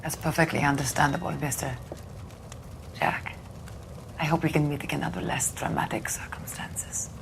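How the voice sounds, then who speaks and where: Casting: poised noir game woman, deep creaky voice, hushed and polite, noisy background. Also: fairly even intonation, normal speed, long pauses. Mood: earnest, subdued. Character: poised, earnest, soft-spoken woman in film noir. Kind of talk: film noir video game acting.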